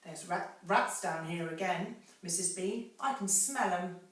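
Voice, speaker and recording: A woman's voice: on the low side, unhurried, distant mic, natural voice. A woman voicing a big, servile house-woman in a read-aloud storybook, with an eager, obedient manner. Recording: roomy echo, from the mic.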